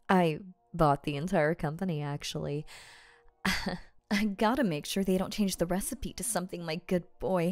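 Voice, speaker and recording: Woman acting a slow-talking, doting, possessive rich girlfriend. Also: fairly low pitch, darkish tone. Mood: teasing, doting. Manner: coaxing, teasing, halting playful asides. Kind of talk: ASMR audio roleplay, spoken straight to the listener.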